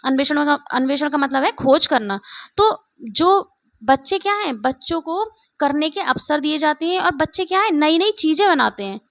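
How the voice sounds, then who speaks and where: A woman teacher with a hoarse voice, giving an exam-prep lecture and often checking that students follow. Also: sits high, animated, moderate pace, a bit bright, no room sound. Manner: repeats points, keeps asking "ठीक है?".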